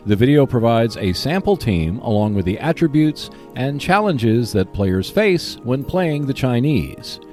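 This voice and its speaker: A man hosting a hobby podcast, reading scripted announcements in a measured, clearly enunciated way. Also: mid-range voice, very animated, darkish tone, faint music behind. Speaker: podcast host.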